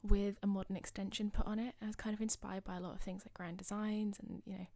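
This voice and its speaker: A young woman with a slightly husky voice, rambling casually over a game build video. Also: mid-range voice, little pitch movement, quick pace, warm tone, dry recording. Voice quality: slightly husky, losing her voice. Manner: rambling, chatty, self-deprecating. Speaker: gaming channel host.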